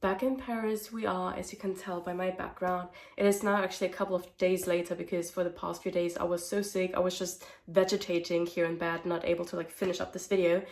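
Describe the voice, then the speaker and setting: A young woman talking casually to camera as a vlogger, her voice hoarse because she is a little sick, chatty and full of "like" fillers. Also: on the low side, flat delivery, fairly quick, hardly any pauses, darkish tone. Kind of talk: casual vlog talk to camera.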